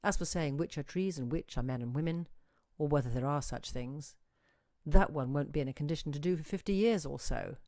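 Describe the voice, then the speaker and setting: A woman reading a short story aloud as an expressive, musing narrator with dramatic emphasis. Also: low-pitched, fairly quick, no room sound, clean studio sound. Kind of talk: expressive literary audiobook reading.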